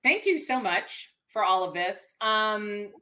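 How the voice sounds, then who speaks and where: A woman's voice: mid-range voice, lively intonation, slow, sharp tone. A woman hosting a conversation in a casual, wry way, thinking aloud as she puts her questions. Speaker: host and interviewer.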